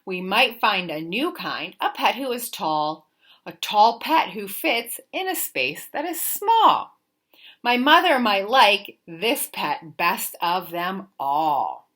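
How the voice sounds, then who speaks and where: Bright, very animated woman reading a storybook. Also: mid-range voice. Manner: expressive, deliberate, sing-song emphasis. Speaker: teacher reading a picture book aloud. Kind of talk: animated children's storybook read-aloud.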